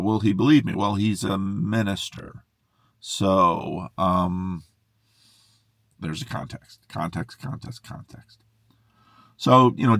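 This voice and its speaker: A man's voice: fairly low pitch, no echo, studio quality. A middle-aged man, a pastor, talking loosely to a camera from inside a parked car, musing and often breaking off his own sentences. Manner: musing, self-interrupting, excitable. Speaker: pastor and video host. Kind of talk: unscripted monologue to camera.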